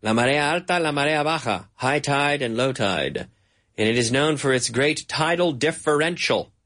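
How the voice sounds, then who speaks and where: A Canadian man giving a bilingual English lesson, speaking slowly and repeating words over and over so learners can catch the sounds. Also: medium pitch, animated, a bit bright. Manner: slow, repeats words for drilling. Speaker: English teacher.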